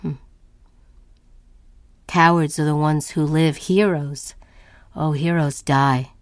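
A woman's voice: sits low, fairly even intonation, slow pace, dry recording. A woman performing a restless, anxious artist's first-person monologue in a brooding, emphatic, theatrical way. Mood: brooding, defiant. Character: restless, anxious, self-questioning woman artist, neither old nor young.

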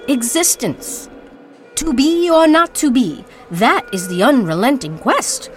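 A woman performing a dramatic spoken-word monologue as narrator, with theatrical, deliberate, incantatory phrasing. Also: high-pitched, expressive, faint music behind.

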